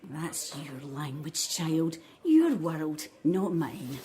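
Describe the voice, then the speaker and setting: An actress playing a serene, knowing old aunt with a mystical air in an audio drama. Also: female voice, low-pitched, big pitch swings, very slow, noisy background, exaggerated voice. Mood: calm, contented.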